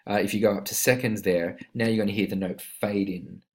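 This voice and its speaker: Male music production tutor, deep register, informal and explanatory, demo instrument sounds clearly present underneath. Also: steady tone, brisk. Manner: explanatory, step by step. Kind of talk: casual screen-recorded software tutorial. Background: musical instrument notes from demonstrations.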